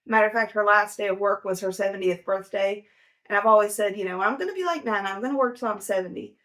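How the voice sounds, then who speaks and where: A woman's voice: mid-range voice, fast, a bit bright. A woman in her forties giving casual, down-to-earth money advice, chatty and full of fillers. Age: 40s. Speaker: personal finance host. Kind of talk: casual advice talk to camera.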